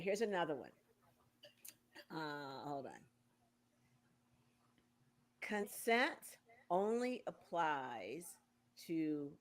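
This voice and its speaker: Low-voiced woman in her sixties. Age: 60s. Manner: playful, quizzing guests like a game. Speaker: radio show host. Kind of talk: casual talk show chat.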